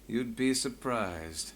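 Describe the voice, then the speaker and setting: An actor playing a composed, wry investigator with a measured, polite manner in a fully voiced video game. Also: male voice, mid-range voice, a little hiss or hum. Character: composed male investigator, former street thief, wry and courteous. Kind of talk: scripted video game voice acting.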